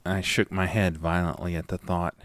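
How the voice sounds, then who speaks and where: Male horror narrator, low-pitched and dry, dramatic scripted first-person delivery. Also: level tone, moderate pace, fairly bright tone, no room sound. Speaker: narrator and lead of a horror audio story.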